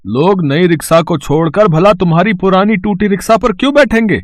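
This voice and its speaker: Brusque rickshaw rival for cartoon tale, male performer; high voice, scoffing, dismissive, no room echo. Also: a little fast, clean studio sound, lightly acted. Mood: mocking. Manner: scoffing, blunt. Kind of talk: cartoon moral story acting.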